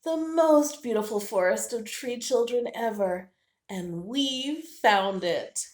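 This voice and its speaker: Woman voicing an eager little squirrel, wildly lively pitch. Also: slow pace. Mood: excited. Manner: expressive, exclamatory storybook character talk. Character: small eager squirrel, excitable, tender and easily frightened. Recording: roomy, echoing indoor sound.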